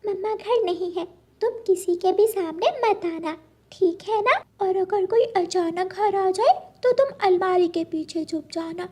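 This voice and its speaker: A very high-pitched woman playing a little girl bird from a cartoon. She is sweet and eager, and talks in a childlike, pleading manner. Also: measured pace, mellow. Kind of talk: Hindi cartoon story dubbing.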